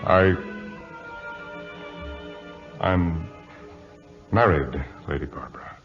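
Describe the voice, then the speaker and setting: A man playing a formal, dutiful, restrained sea captain with halting speech in an old-time radio drama. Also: low-pitched, exaggerated voice. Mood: guarded, troubled. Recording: muffled old radio broadcast with music.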